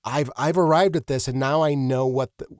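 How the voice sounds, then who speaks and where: A man hosting an interview in casual, reflective talk, effusive and earnest with halting phrasing. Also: medium pitch, steady tone, clear, resonant tone, no echo, clean studio sound. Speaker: podcast host, interviewer.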